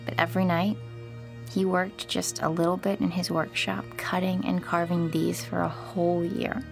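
A woman playing a kind but uneasy young boy's mother, speaking gently and hesitantly in an audio drama. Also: lowish voice, little pitch movement, moderate pace, gravelly, soft background music. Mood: tender. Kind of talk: naturalistic audio drama acting.

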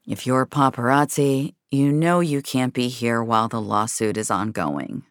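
A woman puts on an arrogant Hollywood leading man for an audio drama. He is curt, cold and impatient, with a very low voice. The recording is dry. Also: unhurried, no room sound. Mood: annoyed. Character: arrogant, irritated male Hollywood star and father.

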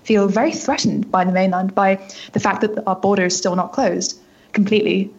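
Woman with a husky voice, casual interviewee. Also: medium pitch, moderate pace, a little mellow, highs cut off. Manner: informal, frequent "like" and "kind of". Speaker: newspaper reporter being interviewed. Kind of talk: conversational interview answers.

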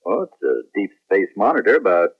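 Dry, skeptical spaceship captain, 1970s radio drama. Low register, dulled broadcast sound. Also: male voice, expressive, brisk, husky. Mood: wry. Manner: dry, wisecracking. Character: wry, skeptical male space pilot captain. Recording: muffled old radio broadcast.

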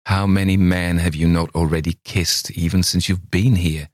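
A stern, priggish gentleman lectures in a deep, measured voice, as a male audiobook narrator plays him. He sounds cool and disapproving, close on a dry recording. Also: level tone, no room sound, natural voice. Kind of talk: audiobook character voice.

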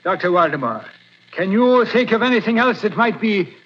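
An actor playing a gruff, stubborn police lieutenant in a 1940s radio drama, blunt in his talk. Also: high voice, expressive, normal speed. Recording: muffled old radio broadcast, faint hiss.

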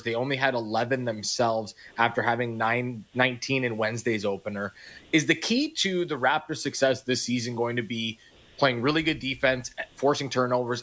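Brief: mid-pitched male radio co-host, long detailed questions. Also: a bit bright. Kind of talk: sports radio interview.

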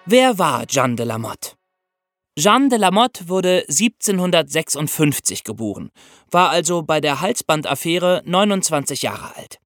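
A man's voice: a little high, sing-song, a little slow, a bit bright, soft background music. A man hosting a history podcast, telling a scripted story in an explanatory way and addressing listeners directly. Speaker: podcast host.

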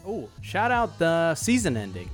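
A man's voice: on the high side, huge pitch swings, unhurried, faint music behind. A man co-hosting a podcast, chatting casually. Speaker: podcast co-host. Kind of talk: casual banter.

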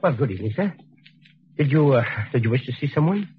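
A man playing a nervous, hesitant gentleman. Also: mid-range voice, lively intonation, quick pace, natural voice. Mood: uneasy politeness. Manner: hesitant, stammering. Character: nervous, polite gentleman lover caught out. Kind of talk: 1940s radio suspense acting. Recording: muffled old radio, faint hiss.

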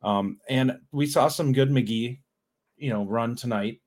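Guy on a sports podcast, mid-pitched, talks through plays, keeps restarting sentences. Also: measured pace, a little mellow. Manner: earnest analysis with frequent restarts and agreement. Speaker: podcast co-host. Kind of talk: casual sports podcast chat.

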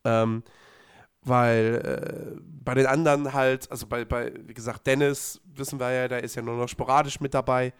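A man co-hosting a podcast, hoarse from a cold, chatting casually with lots of fillers and restarts. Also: medium pitch, little pitch movement, moderate pace. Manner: rambling, many fillers and restarts. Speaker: podcast co-host. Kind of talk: casual chat.

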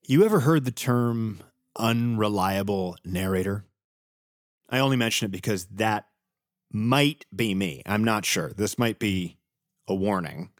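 An actor playing a jittery, wry American assassin on the run, narrating an audio drama in a halting, self-interrupting way. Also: male voice, fairly low pitch, no echo, clean studio sound, natural voice. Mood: nervous, rambling. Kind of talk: audio drama acting and first-person narration.

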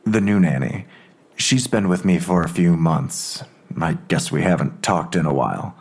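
A middle-aged investigator in an audio drama, worn down and cranky. His voice sits low and is thick with gravel, rough and husky at the edges. He speaks in terse, dry questions and clipped replies. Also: moderate pace. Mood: guarded, curt.

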